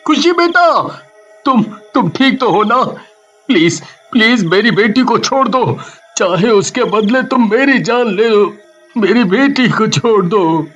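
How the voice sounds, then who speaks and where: A man playing a father in a horror story, dramatic and halting, with repeated pleading phrases. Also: high voice, lively intonation, a bit bright, muffled recording, soft background music, full character voice. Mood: panicked, pleading. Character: frightened, pleading father in a haunted house.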